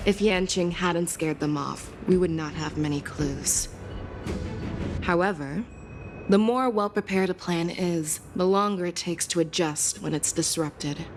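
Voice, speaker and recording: An actress voicing a calm, shrewd woman general who speaks in a measured, commanding way as she lays out a hunt. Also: on the low side. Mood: steady commanding. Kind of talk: game cutscene voice acting. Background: game music.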